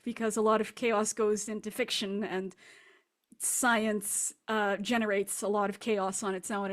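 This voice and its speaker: Conversational woman author, mid-pitched voice. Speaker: author guest being interviewed. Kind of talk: casual, reflective interview answers.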